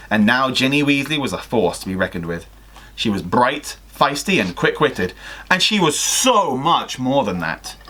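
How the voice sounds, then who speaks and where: Animated young man reading a story. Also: mid-range voice. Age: young adult. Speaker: guest reader on a livestream. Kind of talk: expressive fan fiction story reading with casual asides. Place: small home room with sloped ceiling.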